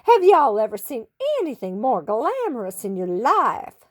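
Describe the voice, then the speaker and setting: A woman's voice: on the high side, very animated, unhurried. A woman voicing a gushing Southern lady with a drawling Texan accent in a storybook reading.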